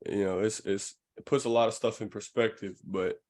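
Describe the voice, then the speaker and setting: Low-voiced man hosting casually. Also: fairly even intonation, normal speed, darkish tone. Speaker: podcast host. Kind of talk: casual chat.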